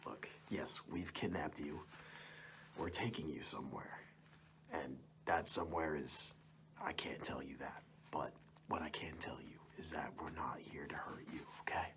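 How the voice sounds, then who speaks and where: A man playing a goofy, menacing kidnapper in an ASMR roleplay, coaxing and mock-reassuring in a breathy, hushed whisper. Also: a bass voice, a little slow, a little hiss or hum, exaggerated voice. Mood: hushed reassurance. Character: goofy menacing male kidnapper soothing his captive to sleep.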